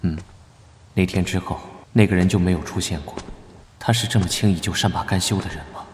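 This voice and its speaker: A man playing a proud, sickly young knight officer in an animated drama, breathy, curt and weary. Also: deep voice, fairly quick. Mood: businesslike. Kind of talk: Chinese animated drama acting.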